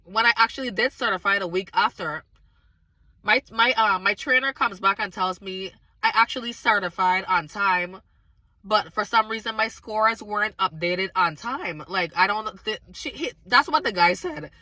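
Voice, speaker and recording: Woman, raspy, slightly hoarse, earnest casual testimony, emphatic, bright forceful tone. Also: medium pitch, animated, a little slow, no echo. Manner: earnest, emphatic, talks straight to viewers. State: recovering from illness, slightly hoarse. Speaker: vlogger sharing a faith testimony.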